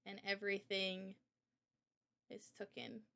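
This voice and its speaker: A woman's voice: medium pitch, monotone, slow, creaky, fairly bright tone. A woman hosting a live stream in casual, unscripted conversation, speaking earnestly and passionately about art as an illustrator. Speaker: live stream host interviewing a guest.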